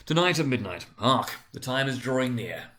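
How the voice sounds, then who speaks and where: A man's voice: mid-range voice, very animated, normal speed, sharp tone. A man voicing a solemn, ageing Christmas spirit, stern and sorrowful, in an emphatic, declamatory storybook reading. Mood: grave. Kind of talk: dramatic storybook reading of a Victorian tale.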